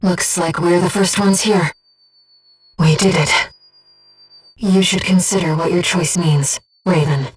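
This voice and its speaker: A woman voicing a gentle, earnest, disembodied female companion in a video game, guiding a pilot with composed, precise callouts and briefings. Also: lowish voice, fairly even intonation, slow pace, pauses often, husky, recorded from a distance, faint music behind, low-quality recording. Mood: tender, solemn. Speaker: mission support companion.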